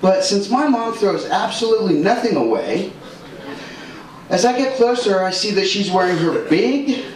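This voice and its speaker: A man's voice: high voice, sing-song, normal speed. A man doing a stand-up comedy set on a club stage, punchy and exaggerated, slipping into character voices. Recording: echoey club audio from far off, loud room noise.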